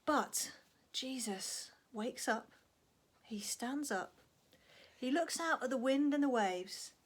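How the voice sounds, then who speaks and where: Expressive higher-voiced woman telling children stories. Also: slow pace. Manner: expressive, prompting with questions and acting out sound effects. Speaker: Bible storyteller for young children. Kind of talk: animated children's storytelling with a child helper.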